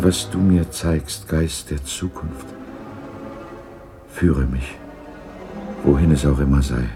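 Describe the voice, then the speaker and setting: A man's voice: rumbling low, mellow. An older man acting a repentant, frightened old miser in a 1960s German radio drama, pleading and earnest. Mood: fearful, resigned. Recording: 1960s radio production with music.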